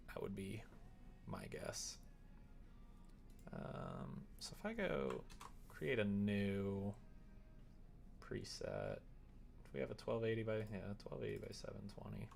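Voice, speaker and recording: A man with a fairly low voice and plenty of vocal fry streams while he works. He talks casually and loosely, muttering to himself as he goes. Dry little joking asides are mixed into the rambling. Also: sing-song, takes it slowly, pauses often, soft background music. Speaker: live streamer developing a visual novel. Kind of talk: casual livestream chat while working.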